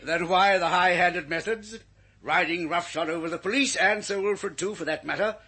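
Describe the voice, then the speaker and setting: A man's voice: high voice, moderate pace, pushed and bright, husky, full character voice. A man acting a pompous, indignant British government minister who blusters huffily in an old-time radio thriller. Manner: pompous, huffy.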